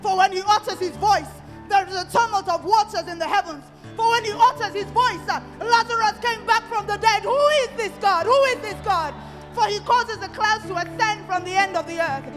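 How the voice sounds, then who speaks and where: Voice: extremely high pitch, moderate pace, fairly bright tone, big-room sound, soft background music. A preacher delivering a sermon in a rhythmic style, returning again and again to one refrain.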